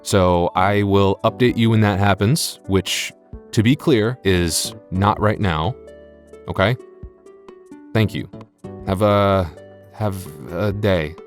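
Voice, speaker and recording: Male audio creator, deep voice, awkward self-deprecating casual outro delivery, light music underneath. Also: slow pace, pauses often. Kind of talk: casual skit-like outro chat to listeners.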